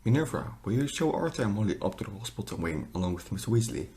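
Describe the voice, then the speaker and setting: Man acting elderly wizard headmaster, low pitch, wise, formal, grandfatherly, audio drama. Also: big pitch swings, fast, warm tone. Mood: gentle, reassuring. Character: wise, kindly old male wizard headmaster.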